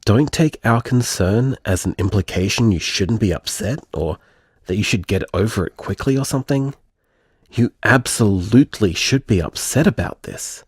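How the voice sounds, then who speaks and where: A man playing a tender, protective boyfriend in an audio drama, gentle, earnest and reassuring. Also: mid-range voice, breathy edge, dry recording, studio quality. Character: tender, protective boyfriend comforting his hurt partner. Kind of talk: audio drama voicemail monologue.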